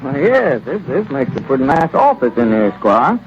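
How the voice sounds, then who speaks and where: Man doing a plain-spoken country friend, mid-pitched, chuckling, dull old radio sound, faint music. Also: big pitch swings, normal speed, lightly acted. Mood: friendly. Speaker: townsman Dick. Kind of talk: 1930s radio comedy acting.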